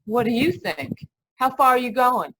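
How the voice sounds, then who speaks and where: A woman's voice: fairly high pitch, moderate pace, a bit bright, slight background noise, lightly acted. A woman playing a young, wisecracking hitchhiker in an old-time radio drama, casual, slangy and blunt. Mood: eager. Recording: live video-call performance.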